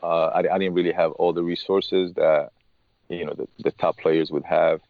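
A man, a tennis coach being interviewed, speaking haltingly and often restarting his words. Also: low-pitched, little pitch movement, gravelly, no room sound, narrow, tinny audio. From Morocco. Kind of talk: casual interview talk.

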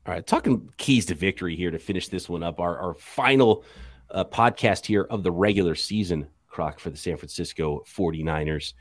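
A man co-hosting a sports podcast, talking casually with an animated, joking delivery. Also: low-pitched, moderate pace, no echo.

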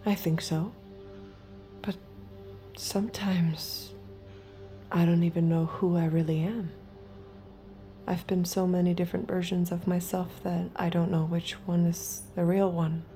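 An actress playing a guarded, detached young escort in an intimate audio drama, speaking in a cool, measured way. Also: female voice, on the low side, little pitch movement, dark tone, soft background music. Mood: guarded, reflective. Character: guarded, detached young woman escort hiding her true self. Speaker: lead character and narrator. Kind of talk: intimate audio drama acting with inner narration.

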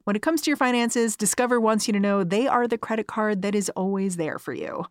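A woman delivers a scripted sponsor message. Her voice sits at a medium pitch and is recorded very dry, with no room sound. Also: fairly quick, no room sound. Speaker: ad reader. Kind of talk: scripted advertisement read.

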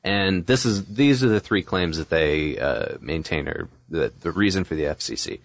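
A man's voice: low voice, expressive, normal speed, creaky, no room sound. An American man co-hosting a podcast, explaining things in a casual, conversational way. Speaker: podcast co-host.

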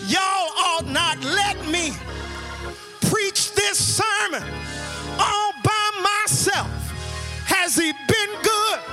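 A man preaching a sermon in a shouted, chanted cadence, often repeating his words as he builds. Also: very high voice, very slow, pauses often. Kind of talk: whooping sermon. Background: organ music.

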